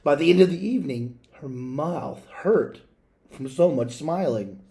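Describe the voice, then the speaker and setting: Man reading a story aloud, mellow voice. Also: a little high, animated, measured pace. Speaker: read-aloud reader. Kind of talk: storybook read-aloud, expressive narration.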